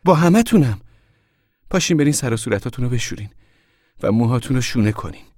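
A man's voice: lowish voice, flat delivery, breathy edge, dry recording, in a whisper, clean studio sound. Man voicing an anxious, bossy mother. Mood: urgent, commanding. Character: hard-working migrant mother, anxious and bossy.

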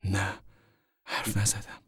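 A man's voice: fairly bright tone, no room sound, studio quality, lightly acted. A man voicing a hard-working, anxious and bossy mother in an audiobook story, speaking in plain, urgent colloquial lines. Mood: apologetic, uneasy.

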